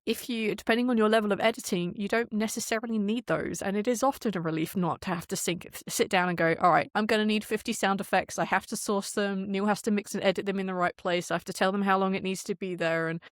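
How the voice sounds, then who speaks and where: A woman's voice: mid-range voice, fairly even intonation, quick pace, few pauses, dry recording, clean studio sound. A woman talking casually as an interview guest, explaining how audio production works and often voicing imagined quotes as she goes.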